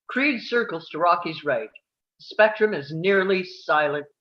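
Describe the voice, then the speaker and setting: Dull, boxed-in audio of a tense fight commentator performed by a man. He calls the bout blow by blow as part of a script read. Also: high voice. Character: tense male ringside boxing commentator. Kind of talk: sports commentary in a table read.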